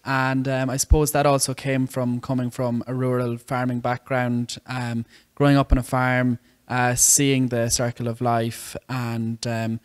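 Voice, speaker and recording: A man's voice: mid-range voice, little pitch movement, measured pace, few pauses, smooth. A young man in his twenties from the southeast of Ireland with an Irish accent, a veterinary student giving earnest, thoughtful interview answers. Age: 20s.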